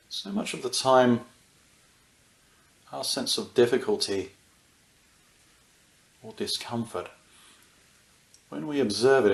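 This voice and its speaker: Slow, low-voiced man guiding meditation, gravelly edge. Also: reverberant. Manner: soothing, unhurried pauses between short phrases. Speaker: meditation teacher. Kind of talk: guided meditation, unscripted and gentle.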